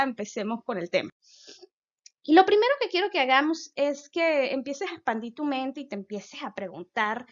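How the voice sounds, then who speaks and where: A Venezuelan woman in her thirties gives a relaxed science talk and keeps speaking straight to the listener in a casual way. Her voice sits a little high. The recording sounds dull and lo-fi. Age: 30s. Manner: chatty, addresses listener directly. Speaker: physicist giving an outreach talk. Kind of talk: informal science explainer.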